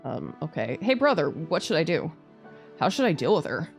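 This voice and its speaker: A woman's voice: deep voice, big pitch swings, moderate pace, vocal fry. A woman streaming a game, reading story text aloud and dropping in casual, sweary asides. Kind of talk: let's play, reading visual novel text aloud with casual commentary. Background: background music.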